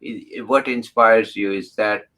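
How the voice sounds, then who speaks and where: A man hosting an interview, talking in a halting way with many restarts and fillers. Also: fairly low pitch, fairly even intonation, sharp tone. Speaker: interview host. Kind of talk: casual interview talk.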